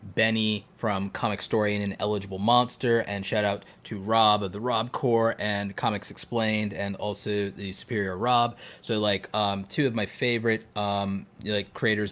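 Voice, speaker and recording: A man with a fairly low voice chats casually as a podcast co-host. He explains his ideas with enthusiasm, working through them aloud point by point. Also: flat delivery, normal speed, fairly bright tone. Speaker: podcast co-host and content creator. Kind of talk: casual podcast chat, giving advice.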